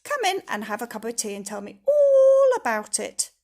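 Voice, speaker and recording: A woman's voice: a little high, wildly swooping pitch, moderate pace, darkish tone. A woman voicing a confident little female storybook magician, kindly, reassuring and firm. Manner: commanding, reassuring.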